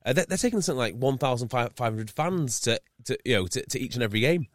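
A young man from North Wales hosting an interview, chatty and quick to stumble over his words, his pitch jumping up when he gets going. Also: dry recording. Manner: chatty, stumbles and restarts, lively rises in pitch. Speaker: podcast host. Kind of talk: casual interview chat.

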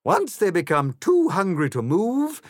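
A man's voice: high voice, wildly swooping pitch, unhurried, no echo, clean studio sound, voice pushed up, full character voice. A man voicing an imperious, cruel queen of a card kingdom who speaks grandly and screams in bursts. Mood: falsely gracious.

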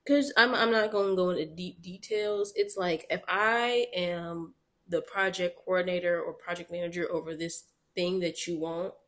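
A woman co-hosting a casual podcast, telling stories in animated, quick bursts with frequent laughter. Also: fairly low pitch. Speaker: podcast co-host. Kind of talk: casual chat.

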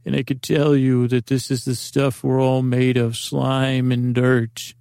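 A man's voice: mid-range voice, little pitch movement, unhurried, a little mellow, no echo, clean studio sound. A man hosting a sleep podcast, rambling and meandering and often cutting himself off mid-thought. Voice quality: gravelly, creaky voice. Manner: meandering, self-interrupting, drifts in pace. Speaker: podcast host. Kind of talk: rambling bedtime podcast talk.

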